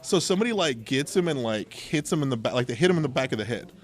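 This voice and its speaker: A man's voice: mid-range voice, lively intonation, fast. A man in casual, informal conversation, telling personal anecdotes as a podcast host and acting out bits of dialogue. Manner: informal, acts out quoted dialogue. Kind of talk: casual anecdotal storytelling chat.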